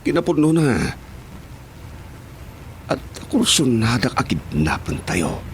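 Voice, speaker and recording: Cocky leering ilocano goon, mid voice, radio drama, some noise behind. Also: male voice, medium pitch, very animated, slow. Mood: gloating. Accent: Ilocano Filipino. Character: cocky, leering male henchman kidnapper.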